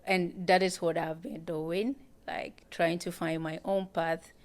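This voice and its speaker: A woman's voice: lowish voice, level tone, takes it slowly. A woman in her twenties from Ghana, a guest chatting casually in a live-streamed interview, hesitant and repeating her words.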